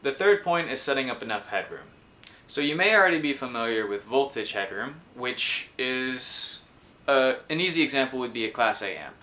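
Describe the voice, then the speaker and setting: A man's voice: medium pitch, expressive, moderate pace, sharp tone. A man in his twenties explaining a technical project informally, as the host of his own video. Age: 20s. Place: small room indoors.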